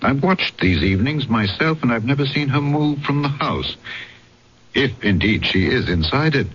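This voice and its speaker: An actor playing a shrewd, courteous Corsican police magistrate who narrates and converses in an old-time radio drama, urbane and inquisitive. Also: male voice, mid-range voice, expressive, normal speed, a bit bright, husky, muffled recording. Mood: measured, intrigued. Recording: band-limited radio drama recording with music.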